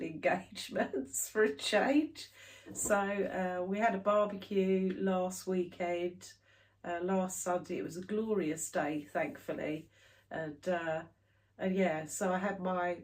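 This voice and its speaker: A woman in her thirties, stuffed up with hay fever, chatting casually to camera about her stitching. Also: lowish voice. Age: 30s. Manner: chatty, rambling, many fillers. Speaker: cross stitch vlogger.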